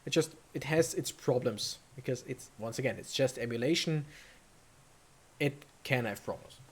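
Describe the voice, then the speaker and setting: A woman giving casual let's play commentary, thinking aloud in a halting, rambling way. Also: rumbling low, darkish tone, breathy edge. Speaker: let's player commentating a video game.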